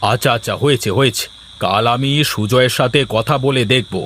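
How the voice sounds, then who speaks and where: A man's voice: medium pitch, moderate pace, a bit bright, a little hiss or hum, natural voice. Man acting as married village son, exasperated, placating, reasoning tone, cartoon family drama.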